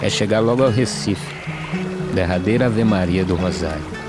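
An actor playing a weary, resigned young migrant in an animated film, reciting verse solemnly. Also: male voice, sits low, lightly acted. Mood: resigned determination. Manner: solemn, measured verse delivery. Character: weary young male drought migrant, prematurely aged, resigned. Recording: plucked guitar music behind the voice.

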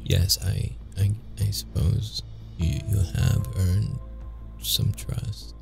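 A man's voice: low voice, level tone, gravelly, over music, exaggerated voice. A man playing a young wounded vampire, secretive and brooding, in an intimate, hesitant audio roleplay style. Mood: brooding, grave. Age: young adult. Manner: halting, hesitant, stammering when flustered.

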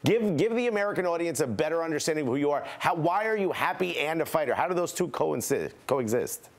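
A man's voice: a little high, expressive, fast. A man co-hosting a studio football show, asking long, talkative questions with bits of laughing banter. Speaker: studio co-host.

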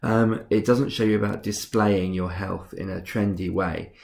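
A young man with a cold walks viewers through a tutorial, his voice husky, rough and noticeably stuffed up. He speaks in a lowish register with a gravelly fry at the ends of phrases. He talks in a relaxed, unhurried way, filling the gaps with frequent ums. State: congested from a cold. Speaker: game tutorial host. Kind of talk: screen-recorded software tutorial.

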